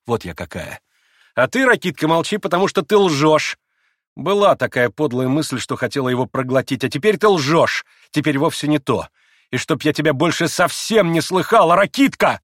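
A man reading an audiobook and voicing a passionate young woman who is proud, emotional and quick to flare. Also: a little high, animated, fairly bright tone, no echo, studio quality, pitched above the performer's own voice. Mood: fierce.

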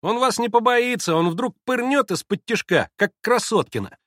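Man putting on high-pitched excitable jeering schoolboy. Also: moderate pace, no room sound, studio quality. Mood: mocking. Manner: shouting, taunting. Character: jeering, excitable schoolboys around eleven, shouting.

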